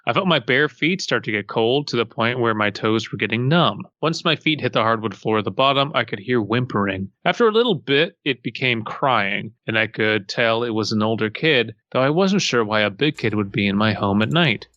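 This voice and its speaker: A man's voice: medium pitch, lively intonation, a little fast, hardly any pauses, pushed and bright, dry recording, lo-fi sound. A man hosting a podcast, reading a horror story aloud and bantering casually.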